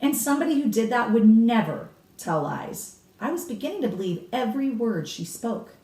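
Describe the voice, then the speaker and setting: Animated woman reading a children's book aloud. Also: a little high, moderate pace. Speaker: storybook reader. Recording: roomy echo, slightly distant mic.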